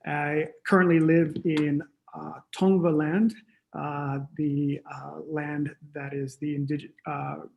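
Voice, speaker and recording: A middle-aged man from Hawaii presents as an academic. His fairly high voice has a lot of creak, and he moves through his points haltingly on a video call. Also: flat delivery, a little mellow. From Oahu, Hawaii. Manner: hesitant, halting delivery. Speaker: economics and public policy professor. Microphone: video call microphone.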